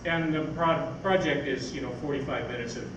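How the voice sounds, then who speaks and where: A man's voice: mid-range voice, fairly quick. Older man, echoey distant stage talk. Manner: rambling, anecdotal. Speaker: speaker, science fiction writer. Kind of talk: informal stage talk answering audience questions. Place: on stage in an event hall. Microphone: far camera microphone. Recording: echoey hall from far off, noisy.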